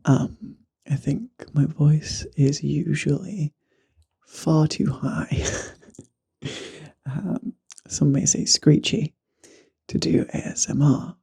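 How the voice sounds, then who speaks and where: A man who is losing his voice and sounds hoarse and raspy. He chats casually in an ASMR-style whisper, joking at his own expense and slipping between whisper and voice, into a handheld mic. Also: fairly high pitch, slow, long pauses, dark tone. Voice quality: raspy, guttural. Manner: chatty, self-deprecating, drifts between whisper and voice. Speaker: vlogger. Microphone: handheld microphone.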